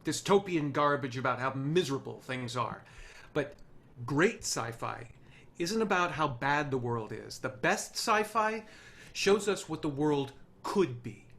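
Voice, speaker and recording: A man's voice: medium pitch, fairly bright tone. A man playing an idealistic, charming, swaggering TV writer in a screenplay table read.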